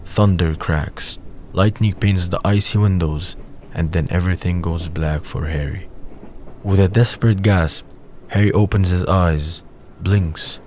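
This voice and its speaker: A man's voice: low voice, unhurried, a little mellow. A man reading scripted scene narration for an audio drama. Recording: faint background noise.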